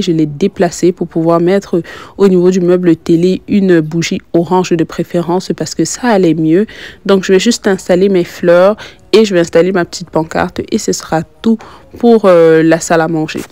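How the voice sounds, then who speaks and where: A woman's voice: fairly low pitch, warm tone, no room sound. A young woman narrating a casual home decoration vlog, chatting directly to her viewers. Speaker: lifestyle vlogger and host.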